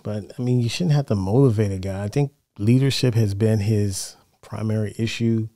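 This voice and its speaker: Male American sports host, middle-aged, lowish relaxed voice, measured and conversational delivery. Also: no room sound, clean studio sound. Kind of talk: casual sports commentary. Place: small home studio, indoors. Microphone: close broadcast mic on boom arm.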